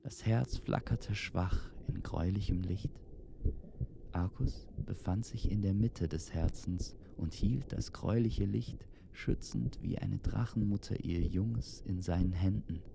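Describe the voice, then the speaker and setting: A man narrating a fantasy audio story in a measured, solemn, dramatic storytelling style. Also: low-pitched, gravelly. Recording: reverberant, with noisy ambience behind.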